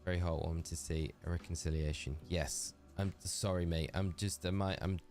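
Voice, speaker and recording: A man's voice: deep voice, steady tone, normal speed, darkish tone, faint music behind. A man with a Geordie accent chatting casually and rambling on a live game stream, talking to his chat.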